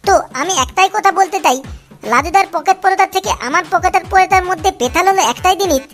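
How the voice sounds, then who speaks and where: Cartoon young male street vendor, very high lisping voice, quick, boastful, foul-mouthed, loud music behind. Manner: ranting, scolding. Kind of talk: comic Bengali cartoon dialogue.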